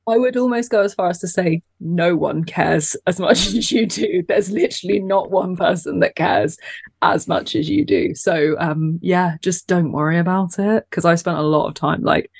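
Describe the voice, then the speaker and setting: A woman guest on a podcast, talking casually in a soft, soothing voice, chatty and self-deprecating, laughing often. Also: lowish voice, normal speed, vocal fry, slightly rough, dry recording, clean studio sound. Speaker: guest, content creator.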